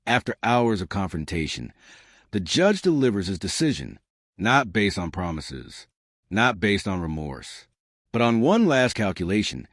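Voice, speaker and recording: A man reading dramatic scripted documentary narration in a measured, portentous way. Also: fairly low pitch, big pitch swings, fairly bright tone, no echo, studio quality.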